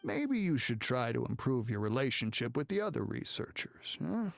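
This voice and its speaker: A man's voice: mid-range voice, sing-song, moderate pace, vocal fry, dry recording, clean studio sound. A man playing a fussy, condescending academic official in a video game, with a patronising, hedging delivery. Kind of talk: dramatic game voice acting.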